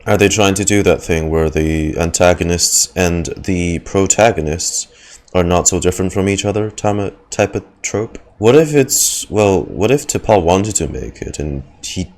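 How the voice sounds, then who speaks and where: A man's voice: sits low, measured pace. A man giving casual reaction commentary as a game streamer, musing and speculating aloud.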